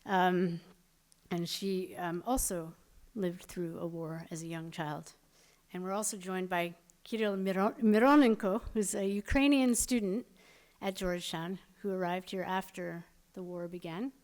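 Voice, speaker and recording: A woman's voice: fairly low pitch, a little slow, darkish tone. A woman moderating a live event, introducing the speakers in an upbeat, conversational way. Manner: upbeat, conversational, some fillers.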